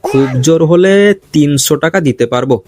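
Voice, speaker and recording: A man's voice: on the high side, a little fast, mellow, close to an everyday voice. Man playing haggling cartoon scrap dealer. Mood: calculating. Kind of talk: comic cartoon dialogue.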